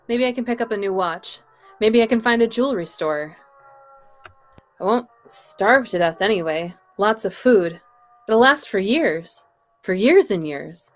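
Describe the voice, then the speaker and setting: A young woman playing a lonely, weary survivor who muses aloud haltingly in a radio drama read. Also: mid-range voice, expressive, faint music behind. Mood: weary, musing. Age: young adult. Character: lonely, weary, dazed survivor talking to self. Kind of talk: live table read of old radio drama.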